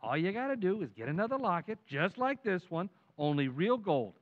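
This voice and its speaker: A man's voice: high-pitched, very animated, fairly quick, narrow, tinny audio. A man playing a brash, cynical wise-guy buddy in an old-time radio comedy, needling and cocksure.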